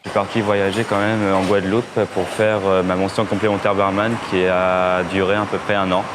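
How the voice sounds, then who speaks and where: A man's voice: low-pitched, flat delivery, brisk. A man of twenty giving a casual personal testimonial in an interview. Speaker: programme participant. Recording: noticeable ambient hum and noise.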